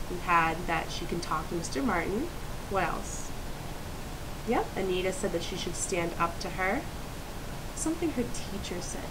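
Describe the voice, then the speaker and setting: Young woman, medium pitch, expressive children's read-aloud with guiding questions; hissy recording. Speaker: student teacher reading aloud. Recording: clear steady hiss.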